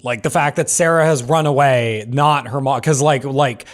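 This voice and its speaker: A man in a casual panel discussion, talking emphatically in halting phrases peppered with "like". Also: medium pitch.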